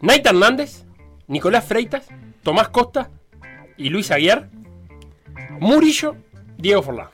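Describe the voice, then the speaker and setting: A man's voice: high-pitched, very animated, a little slow, pauses often, fairly bright tone, faint music behind. A man chatting about football on a sports show, rambling with fillers and restarts. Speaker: sports radio panelist. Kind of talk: casual football talk.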